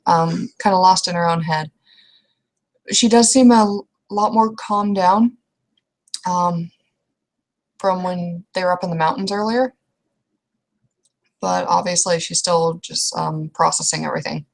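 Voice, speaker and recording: A woman setting the scene for a roleplaying game in halting, improvised description. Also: on the low side, steady tone, creaky.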